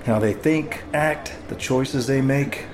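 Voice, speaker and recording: An actor playing a smooth, cocky, foul-mouthed villain in an audio drama thriller, knowing, teasing and self-assured. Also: male voice, mid-range voice, slow pace, natural voice. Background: music.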